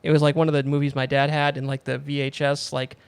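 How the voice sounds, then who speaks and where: A man's voice: on the high side, level tone, fast, creaky, clear, resonant tone, no room sound. A man hosting a casual podcast, rambling with lots of fillers and casual swearing. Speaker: podcast host.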